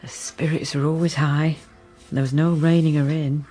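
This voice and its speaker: An actress playing a sensible, anxious middle-aged housekeeper who tells a gothic tale in a radio drama, grave and measured. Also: female voice, low voice, flat delivery, warm tone, faint music behind. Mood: fond, wistful. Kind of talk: radio drama narration and dialogue.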